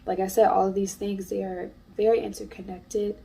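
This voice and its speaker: A woman's voice: mid-range voice, little pitch movement, normal speed, gravelly, dark tone, recorded from a distance, rough recording. A congested woman, an artist and small business owner, talking in a chatty, earnest way to camera in a casual vlog. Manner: chatty and earnest, many fillers. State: congested from allergies.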